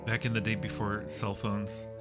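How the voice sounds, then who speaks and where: A man's voice: lowish voice, level tone, moderate pace. A man hosting a casual live stream, making joking, wry asides over a film that he is watching. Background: film soundtrack and music playing.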